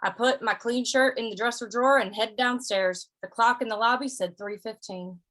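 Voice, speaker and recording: Woman acting Old West gunslinger, female, easygoing, folksy storyteller, radio western narration, somewhat high voice. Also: moderate pace, fairly bright tone, natural voice. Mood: easygoing, reflective.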